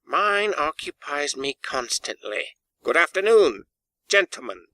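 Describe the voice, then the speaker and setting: A man performs a miserly old businessman from a storybook, cold and scornful. His delivery is curt and sneering, with a bright, forceful edge in a very dry recording. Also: on the high side, big pitch swings, a little slow, no echo, pitched above the performer's own voice, exaggerated voice. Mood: cold dismissive. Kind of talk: audiobook character dialogue.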